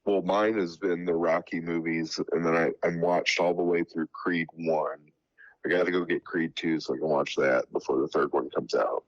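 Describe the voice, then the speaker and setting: A man co-hosting a casual pop culture podcast, sounding stuffy from a cold, relaxed and laid-back. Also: low-pitched, moderate pace, no echo. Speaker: podcast co-host. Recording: slightly dull dry audio.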